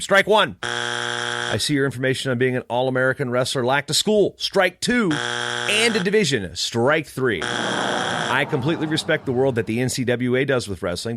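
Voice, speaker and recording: A man's voice: mid-range voice, a bit bright, a little hiss or hum. A man podcaster telling a story in a casual, snarky, emphatic rant. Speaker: wrestling podcaster.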